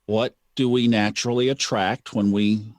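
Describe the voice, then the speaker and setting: A man's voice: on the low side, steady tone, normal speed, no echo. A man hosting a podcast, talking in a reflective, storytelling way and repeating phrases for emphasis. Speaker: podcast host. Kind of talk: casual conversational chat.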